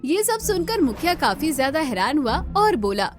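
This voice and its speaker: A woman's voice: sits high, sing-song, moderate pace, soft background music. A woman narrating a scripted cartoon story as the storyteller.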